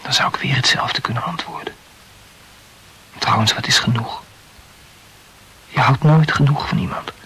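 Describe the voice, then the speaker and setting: An actor playing an evasive, self-absorbed lover in an intimate radio play, reasoning wordily in a breathy voice. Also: male voice, a little high, fairly bright tone, exaggerated voice, poor audio. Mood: defensive. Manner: wordy, rationalizing.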